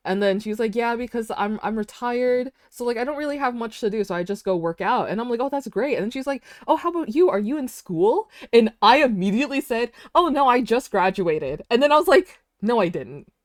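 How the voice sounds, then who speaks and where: A woman's voice: a little high, lively intonation, a little fast, hardly any pauses, no room sound, clean studio sound. A woman in her twenties chatting casually while drawing on a stream, rambling, laughing often and saying "dude" and "like". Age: 20s.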